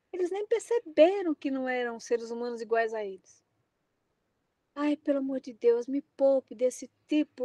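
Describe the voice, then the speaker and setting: A woman's voice: high-pitched, darkish tone, no echo. A middle-aged woman with a Brazilian Portuguese accent, giving an impassioned academic talk, emphatic and exclaiming. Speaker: invited lecturer.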